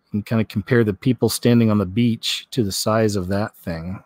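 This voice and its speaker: A man's voice: fairly low pitch, steady tone, moderate pace, darkish tone, no echo. A man hosting a vlog, commenting on clips and news in a casual, folksy, rambling way. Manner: folksy, rambling, repeats himself.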